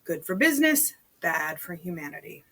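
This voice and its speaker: A woman's voice: fairly low pitch, big pitch swings, moderate pace, fairly bright tone, husky, exaggerated voice. A woman voicing a feisty, irreverent elderly nun who mutters wryly, in a story read aloud. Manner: muttering, wry.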